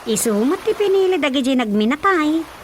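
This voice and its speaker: High-voiced, dutiful Ilocano daughter. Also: female voice, animated, brisk, a little hiss or hum. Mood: matter-of-fact. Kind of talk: radio drama acting. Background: prominent background music.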